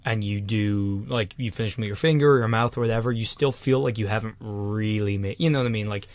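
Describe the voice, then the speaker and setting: A man's voice: lowish voice, fast, no room sound. A man co-hosting a podcast in casual chat, laid-back, swearing casually and chiming in often. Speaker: podcast co-host.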